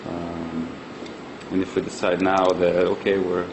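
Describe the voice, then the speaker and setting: A man's voice: low voice, little pitch movement, unhurried. A man giving a live product demonstration, explaining conversationally with some hesitation. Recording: clear room hum and ambient noise.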